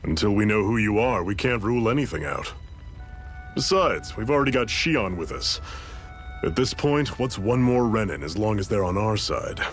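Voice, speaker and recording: An actor playing a seasoned, fatherly rebel leader in a video game, with a relaxed, folksy, mentoring way of talking. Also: lowish voice, moderate pace, soft background music, natural voice. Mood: reassuring, steady.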